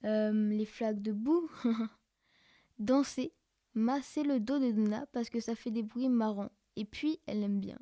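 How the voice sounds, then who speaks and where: Woman doing a playful kid of eleven, high soft mellow voice, chatty and hesitant, very dry recording. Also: a little slow, dry recording, lightly acted. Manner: chatty, hesitant, thinking aloud. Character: lively playful eleven-year-old child. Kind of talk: podcast fiction monologue.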